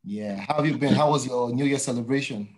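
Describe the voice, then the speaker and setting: A man's voice: mid-range voice, quick pace, a crowd in the background, poor audio. Male interview host, mid-range voice, casual and conversational.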